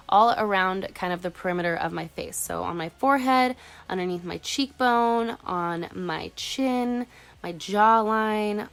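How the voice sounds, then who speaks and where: A young woman in her twenties with a congested cold voice, chatting casually through a makeup tutorial voice-over. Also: medium pitch. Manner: chatty, casual, uses "like" a lot. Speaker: beauty vlogger.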